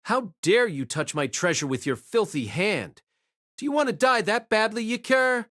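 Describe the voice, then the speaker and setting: Audiobook fantasy villain: man acting a regal, contemptuous immortal archer, higher-pitched, imperious, with animated swoops and dry close sound. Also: normal speed, dry recording. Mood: furious disdain. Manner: imperious, scornful, grandiose. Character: haughty golden heroic spirit archer, regal, contemptuous, murderously proud.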